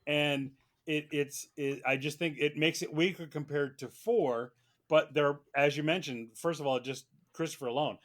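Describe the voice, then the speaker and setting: A man's voice: a little high, steady tone, normal speed, fairly bright tone. A man on a podcast panel, chatting casually in a joking, argumentative manner. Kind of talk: casual chat.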